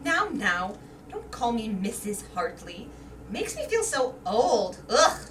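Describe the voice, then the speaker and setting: A woman acts out a vain middle-aged wife who dreads growing old. She is theatrical and emotional, heard from a distance in a roomy space with clear background noise. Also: on the high side, big pitch swings, takes it slowly, a bit bright, heavily acted. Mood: coy fussing. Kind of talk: staged reader's theater.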